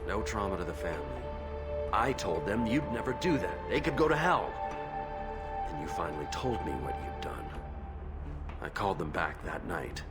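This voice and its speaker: A man's voice: low voice, sing-song, normal speed, pauses often. A man playing a resentful, defensive old friend being confronted in a video game drama. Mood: hurt, indignant. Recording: loud game music behind.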